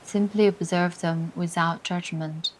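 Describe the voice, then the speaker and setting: Slow, level-voiced woman guiding meditation. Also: lowish voice, steady tone, low-quality recording. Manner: soothing, deliberate, pausing between short phrases. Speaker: meditation guide. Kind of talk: guided meditation, scripted and instructional. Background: music.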